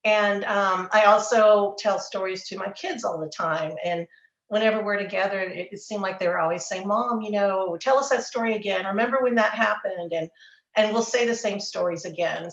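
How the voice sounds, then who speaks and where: A woman's voice: mid-range voice, normal speed, a bit bright. Texas lady author telling stories, stumbles on words, echoey dull sound. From Texas, USA. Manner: storytelling, repeats words, chuckles. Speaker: author guest. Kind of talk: casual interview chat.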